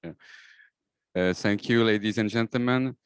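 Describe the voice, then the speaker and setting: A man's voice: on the low side, measured pace, clear, resonant tone, no echo, studio quality. A man, a deputy mayor whose first language is French, giving polite formal opening remarks with some hesitations.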